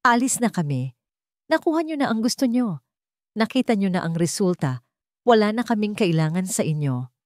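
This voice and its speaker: A woman plays a young single mother in a romantic audio drama. She is proud and unyielding, with a firm, bitter edge and expressive pitch movement, recorded dry and close. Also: normal speed, long pauses, no room sound, lightly acted. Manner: calm yet firm, bitter. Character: proud, defiant young single mother, calm but hard.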